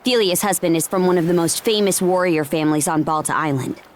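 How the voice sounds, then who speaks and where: A woman's voice: lowish voice, quick pace, a little hiss or hum, close to an everyday voice. Bold, blunt warrior princess, played by a woman. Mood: firm, explaining. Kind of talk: video game cutscene acting.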